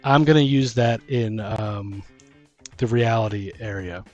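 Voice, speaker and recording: A man's voice: lowish voice, measured pace, a little mellow, dull audio. A man co-hosting a casual podcast about tabletop gaming, talking as a dungeon master in a rambling way, thinking aloud. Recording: music playing behind the talk. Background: music.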